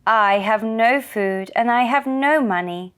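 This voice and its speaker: A woman's voice: a little high, a little slow, a bit bright, smooth. A woman voicing a poor, earnest village man in a children's puppet-show folktale, speaking in plain, simple declarations. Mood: earnest, resolved.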